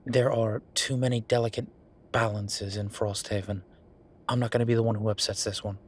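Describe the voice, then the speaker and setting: A man's voice: on the low side, flat delivery, normal speed, close to an everyday voice. A man playing a frustrated, world-weary werewolf official talking intimately with his partner in an audio roleplay, in a conversational, sighing way. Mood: frustrated, resigned. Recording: clear background noise.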